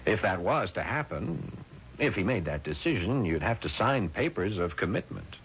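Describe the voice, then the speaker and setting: An actor playing a calm, probing family lawyer in a 1970s radio drama, measured, questioning and matter-of-fact. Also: male voice, sits low, full character voice. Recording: dull, noisy vintage radio recording.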